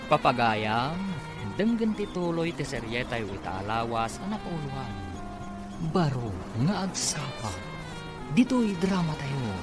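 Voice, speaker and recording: A man's voice: medium pitch, sing-song, a little slow. A man with an Ilocano accent announcing and narrating a radio drama in a dramatic scripted style. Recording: loud music behind the voice.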